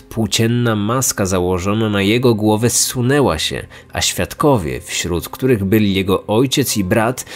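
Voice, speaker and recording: A man delivering serious, measured scripted true-crime narration as a podcast host. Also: fairly low pitch. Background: music.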